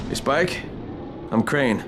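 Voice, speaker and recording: A man's voice: medium pitch, expressive, slow pace, noisy background. A man playing a casual, direct young operative in a video game. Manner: informal, direct. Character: casual, tough young male undercover operative. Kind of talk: video game dialogue acting. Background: music.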